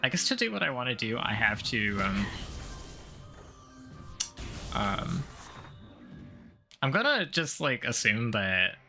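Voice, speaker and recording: A man's voice: mid-range voice, big pitch swings, slow, vocal fry, long pauses, over music. A man giving casual live commentary as he plays a video game, rambling and thinking aloud in a blunt, informal way. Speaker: streamer doing a game playthrough.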